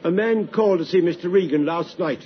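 An actor playing an anxious, fussy but obliging hotel manager in an old radio drama. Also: male voice, sits high, fairly quick, natural voice. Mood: helpful. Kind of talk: vintage radio drama acting. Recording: muffled, noisy old radio recording.

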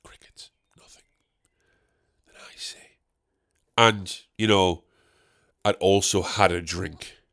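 Guy doing a solo podcast from his bedroom, snotty from a cold, jokey and self-mocking, kinda low voice. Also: fairly even intonation, takes it slowly, gravelly, long pauses, a bit bright. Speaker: podcast host and stand-up comedian. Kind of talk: solo rambling podcast chat.

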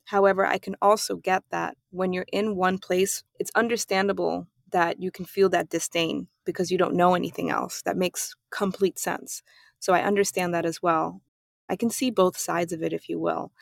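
A woman from Germany hosting her own podcast, talking through her thoughts in a calm, reflective solo monologue. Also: lowish voice, normal speed, gravelly, no echo, studio quality. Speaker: podcast host.

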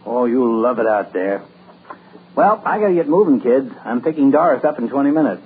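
An actor playing a genial, lovestruck bachelor town doctor in 1940s radio comedy style, jovial and dreamy. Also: male voice, medium pitch, expressive, brisk, slight background noise, natural voice. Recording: tinny lo-fi old radio broadcast.

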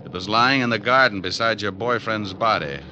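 A man playing a suave, wisecracking hard-boiled private detective in radio drama style, with a sardonic, clipped, quick-witted delivery. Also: fairly low pitch, pushed and bright, lo-fi sound, a little hiss or hum. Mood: cool, pressing. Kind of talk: 1940s-50s radio drama acting.

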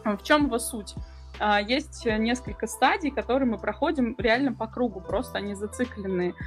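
A woman's voice: fairly high pitch, moderate pace, faint music behind. A woman co-hosting a podcast, talking informally and a little hesitantly, with a slight stammer. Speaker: podcast co-host.

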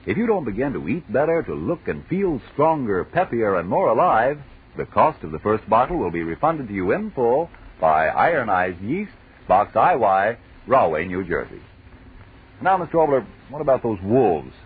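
A man's voice: mid-range voice, very animated, normal speed, breathy edge. An American man working as a 1940s radio announcer, with a polished, emphatic sales delivery. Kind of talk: 1940s radio advertising and banter. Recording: muffled, phone-like 1940s radio recording.

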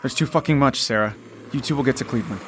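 A man's voice: medium pitch, fast, a little hiss or hum, close to an everyday voice. A man acting a weary, protective father surviving a post-apocalyptic world in an audio drama. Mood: grim.

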